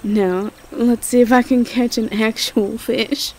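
A woman's voice: fairly high pitch, steady tone, takes it slowly, rough recording. A woman playing an affectionate, cheerful young Southern belle girlfriend in a roleplay audio, with a Southern US accent. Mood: delighted, encouraging. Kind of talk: intimate roleplay audio acting.

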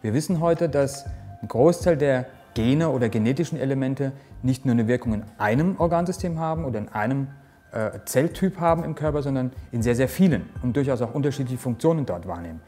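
A man in his forties speaks as a research director, explaining his work earnestly with the odd filler and restart. His voice sits at a middle pitch with a mellow tone. He is recorded on a lapel mic in an office, with faint music underneath. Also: expressive, fairly quick. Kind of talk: interview statement. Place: office with microscope, indoors.

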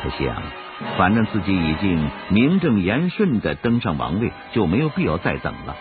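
A man's voice: sits low, wildly swooping pitch, moderate pace. A man narrating a historical radio drama in a scripted, dramatic storytelling style. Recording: phone-like radio recording over music.

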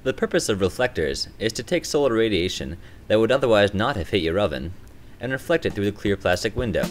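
A young man giving a scripted instructional voice-over with a clear, even, explanatory delivery. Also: on the low side, quick pace. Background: banjo music.

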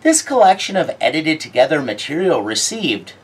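A woman's voice: low voice, very animated, moderate pace, slightly rough. A woman host giving scripted, wry, sardonic commentary as a horror film reviewer. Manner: wry, sardonic, theatrical delivery. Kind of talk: scripted video-essay commentary.

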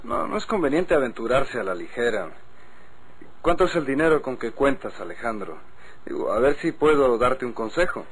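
A confident gentleman, a politician's son, in an old Mexican radio drama. He speaks chummily, like one giving advice, in a mid-pitched, husky voice with a Mexican accent. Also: sing-song, moderate pace, rough recording. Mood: friendly, advising. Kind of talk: golden-age Mexican radio drama acting.

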